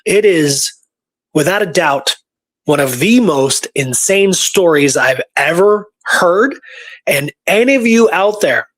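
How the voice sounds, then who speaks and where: A man's voice: a little high, expressive, takes it slowly, a bit bright. A man co-hosting a casual podcast, talking in an enthusiastic, emphatic storytelling manner. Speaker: podcast co-host.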